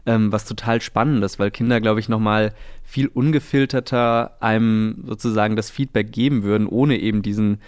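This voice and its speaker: A man's voice: on the low side, fairly even intonation, normal speed, few pauses, smooth, no echo, studio quality. A man co-hosting a conversational interview podcast, commenting on what the guest has said. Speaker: podcast co-host.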